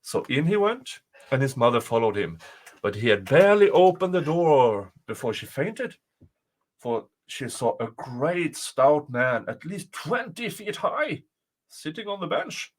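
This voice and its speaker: A male storyteller reads a folktale aloud. His intonation swings widely, and he phrases things deliberately for dramatic effect. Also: fairly high pitch, big pitch swings. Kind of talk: expressive folktale reading.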